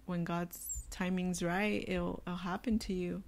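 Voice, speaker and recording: Raspy young woman giving earnest advice. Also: on the low side, level tone, measured pace. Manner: chatty, many filler words, earnest. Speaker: co-host of a faith podcast.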